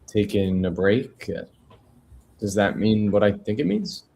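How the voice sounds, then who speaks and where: A man reads a lonely, worn-down proofreader in a film drama table read. His voice is deep, and he keeps the delivery low-key and conversational, with a wry, questioning edge. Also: expressive, measured pace, vocal fry, darkish tone, slight background noise. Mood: curious, wry. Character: Cassidy, weary, lonely male newspaper proofreader, wryly curious.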